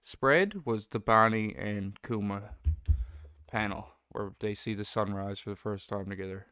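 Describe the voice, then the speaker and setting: A man's voice: lowish voice, unhurried, bright, forceful tone, smooth, no room sound, studio quality. A man speaking as a guest in a casual fan discussion, agreeable and appreciative.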